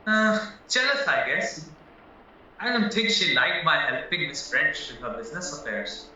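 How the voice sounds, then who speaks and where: A man's voice: on the high side, animated, measured pace, sharp tone, slight background noise, exaggerated voice. A man acting a frank, flustered young married murder suspect in an audio play, hesitant and earnest as he protests. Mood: candid, explaining. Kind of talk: stage-style courtroom audio drama acting. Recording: echoey, distant, dull, music behind.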